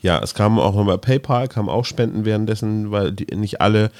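A man with a fairly low voice talks as a podcast host in a loose, conversational way. He feels his way through sentences, pausing to find his words. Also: a little mellow. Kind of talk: casual chat.

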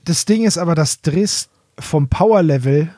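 A man with a fairly high voice chats as a podcast co-host. He explains things informally, fan to fan. The recording is close and dry. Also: lively intonation, moderate pace, dark tone, dry recording. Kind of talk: casual explanatory fan chat.

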